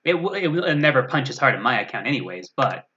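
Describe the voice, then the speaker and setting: A man's voice: mid-range voice, big pitch swings, fast, pushed and bright. A man chatting casually as a guest on a gaming stream, wry and self-deprecating. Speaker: guest gamer. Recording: dull, lo-fi voice audio.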